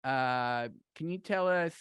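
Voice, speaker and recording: A man's voice: medium pitch, slow pace, fairly bright tone, clear, resonant tone, no echo, studio quality. A man hosting a podcast livestream, upbeat and loose, with a few fillers. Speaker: podcast host.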